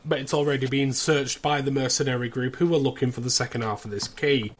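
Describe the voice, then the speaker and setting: British man, chatty and wry. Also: on the high side. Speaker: podcast host. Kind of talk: casual recap of a show's plot.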